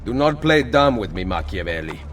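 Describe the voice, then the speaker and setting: A man's voice: lowish voice, sing-song, a little fast, a bit bright. A man with an Italian accent playing a proud, dry-witted Renaissance assassin in a video game. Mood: challenging. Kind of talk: dramatic video game acting. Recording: music behind the dialogue.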